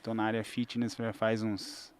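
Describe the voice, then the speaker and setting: A man in his forties from southern Brazil talks in a slightly low voice. He is a fitness trainer on a casual podcast, loose and unhurried, and often circles back and restarts his sentences. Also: monotone, smooth. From Santa Catarina, Brazil. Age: 40s. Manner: easygoing, explains at length with many restarts and fillers. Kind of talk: casual interview chat.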